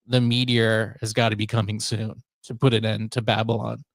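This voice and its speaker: A man's voice: fairly low pitch, level tone, normal speed, gravelly, no echo. A man hosting a podcast in casual, unscripted chat, with a wry, rambling, somewhat hesitant way of talking. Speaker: podcast host.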